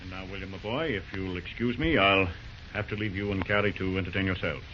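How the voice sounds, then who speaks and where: A man's voice: sits low, fairly quick, bright, forceful tone. An actor playing a pompous, shrewd middle-aged businessman in old radio drama style, hemming and patronizing. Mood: courteous. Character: pompous older businessman father, shrewd and genial. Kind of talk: 1940s radio acting. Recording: muffled, noisy vintage radio recording.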